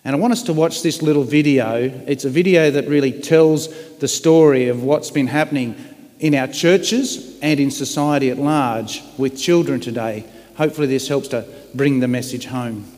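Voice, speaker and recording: A man's voice: medium pitch, moderate pace, a little mellow. A man preaching a sermon in an earnest, reflective, plain-spoken way. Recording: long hall reverb.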